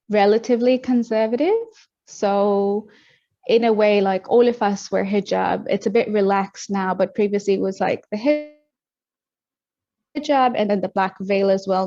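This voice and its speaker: A woman's voice: medium pitch, darkish tone. A young woman from Tanzania, a podcast guest, talking reflectively about her life with frequent ums and restarts. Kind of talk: casual interview talk. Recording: muffled lo-fi audio.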